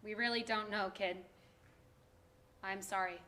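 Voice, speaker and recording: An actress in a staged play reading, playing a brusque, sarcastic government social worker who talks in a blunt, hurried way. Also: female voice, medium pitch, fairly even intonation, bright, forceful tone. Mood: brisk, weary.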